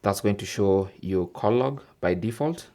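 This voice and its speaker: A man's voice: on the low side, a little slow, mellow. A man presenting an instructional tech tip, explaining step by step and often checking in with the listener. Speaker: tech tutorial host.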